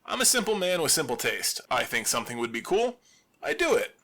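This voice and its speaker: Wry, animated man with bright voice. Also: fairly high pitch, a little fast. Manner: wry, deadpan humor. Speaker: radio host in an audio drama. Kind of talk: scripted audio drama monologue.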